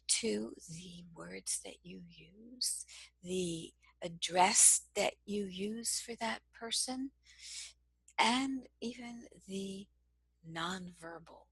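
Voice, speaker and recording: A woman with a soft, very breathy voice teaches in a slow, measured way. Her tone stays gentle and carries a gravelly edge of fry. The sound is close and dry. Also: medium pitch, dry recording, low-quality recording. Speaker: cross-cultural communication trainer. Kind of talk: instructional lesson to camera.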